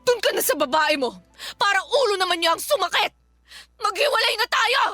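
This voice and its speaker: Fiery, high-voiced Filipina wife. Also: female voice, expressive, brisk, faint music behind, heavily acted. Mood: bitter, resolved. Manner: confrontational, loud, indignant. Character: fed-up, fiery young mother confronting her partner's family. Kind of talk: Filipino radio drama acting.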